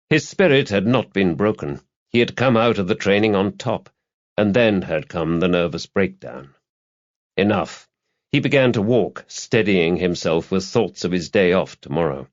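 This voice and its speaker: A man's voice: on the low side, dry recording. A man reading a crime thriller novel aloud as a scripted audiobook narration. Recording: dull, lo-fi, dry audiobook recording.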